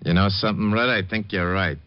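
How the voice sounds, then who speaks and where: An actor playing a menacing, slangy protection-racket thug in a 1950s radio crime drama. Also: male voice, fairly low pitch, steady tone, fast, natural voice. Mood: brusque, threatening. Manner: tough, slangy gangster talk. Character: menacing male protection-racket thug, slow-witted, mockingly casual. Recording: dull, lo-fi vintage radio recording.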